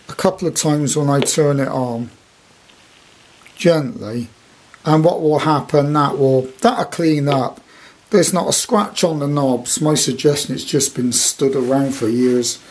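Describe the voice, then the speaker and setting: A British man in his sixties giving a casual, rambling, unscripted show-and-tell as a hobby collector. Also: on the high side. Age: 60s. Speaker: radio collector presenting his item.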